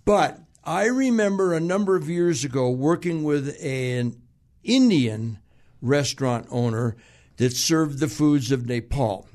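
A man's voice: on the high side, expressive, a little slow, a little mellow. A male radio host interviewing a guest, jokey and talkative, laughing often and asking long leading questions. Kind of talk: casual interview.

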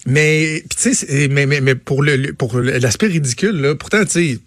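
A man's voice: a little high, normal speed, no echo. A man in his late thirties with a Québécois accent, co-hosting a radio show in a casual, colloquial chat style. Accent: Québécois French. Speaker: radio co-host.

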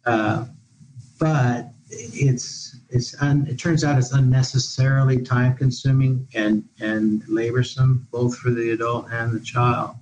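A man's voice: mid-range voice, little pitch movement, unhurried, darkish tone, rough recording. A man who is a guest expert on an education podcast, talking in long, discursive answers with many restarts. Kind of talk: podcast interview. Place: living in Portugal.

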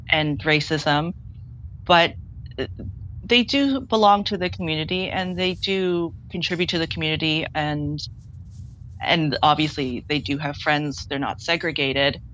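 A woman filmmaker being interviewed remotely, speaking candidly and haltingly with frequent restarts. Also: deep voice, a bit bright, highs cut off, a little hiss or hum. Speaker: filmmaker guest. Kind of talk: interview over a remote link.

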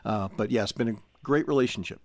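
A man's voice: mid-range voice, fast. A middle-aged man chatting casually as a guest in a studio podcast. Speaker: podcast guest, club producer.

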